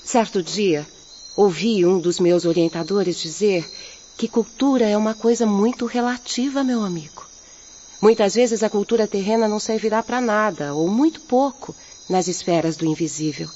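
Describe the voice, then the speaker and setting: Actress as a gentle young Brazilian peasant woman, muffled recording. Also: mid-range voice, close to an everyday voice. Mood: earnest, consoling. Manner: earnest, consoling, measured. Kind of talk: Portuguese-language radio drama acting. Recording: muffled lo-fi audio, night insects, noise.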